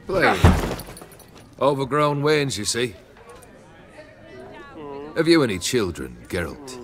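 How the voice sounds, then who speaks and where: Sly, genial, swooping charmer. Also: male voice, mid-range voice, sing-song, slow, faint music behind. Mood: playful. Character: genial, playful, bossy man with sly charm. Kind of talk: dramatic video game acting.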